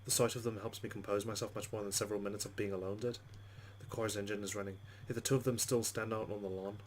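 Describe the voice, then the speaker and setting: A man's voice: on the low side, level tone, quick pace, slight background noise. A man hosting a let's play, reading story text aloud and commenting casually on the game. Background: game music.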